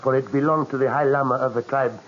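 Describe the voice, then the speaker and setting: An actor playing a grave, superstitious curio seller in a 1940s radio mystery, speaking slowly and formally with halting phrases. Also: male voice, medium pitch, steady tone, natural voice. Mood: solemn, ominous. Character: grave, superstitious male curio seller guarding an ominous legend. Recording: dull, noisy old 1940s radio recording.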